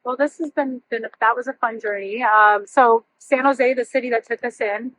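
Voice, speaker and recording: A woman's voice: fairly high pitch, steady tone, normal speed, a bit bright, low-quality recording. A woman in her thirties whose first language is Russian, telling personal stories casually as an interview guest. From born near Chernobyl, emigrated as a child to California. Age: 30s. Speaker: interview guest, realtor and former firefighter.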